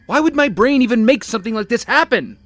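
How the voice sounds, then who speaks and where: An actor playing a starving, guilt-wracked young castaway in an audio drama, emotional and self-pitying. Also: male voice, very high-pitched, animated, fast, a bit bright, no echo. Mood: bitter.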